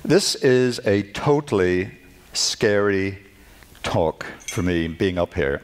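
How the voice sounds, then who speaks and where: A middle-aged man with a Northern Irish accent, giving a personal lecture from his notes, nervous and halting, with restarts and self-deprecating asides. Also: low-pitched, expressive. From Northern Ireland. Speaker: guest lecturer. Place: lecture hall at a conference.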